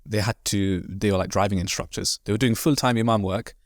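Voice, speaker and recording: A man from Devon, an imam, talking casually as a podcast guest in quick, rambling sentences full of fillers and restarts. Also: lowish voice, steady tone, no echo, clean studio sound. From Devon, England. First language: not Arabic. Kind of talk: casual conversational interview.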